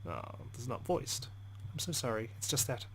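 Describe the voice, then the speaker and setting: A man's voice: mid-range voice, sing-song, moderate pace, fairly bright tone, a little hiss or hum. A man hosting a let's play, reading visual novel narration expressively with side comments and frequent swearing.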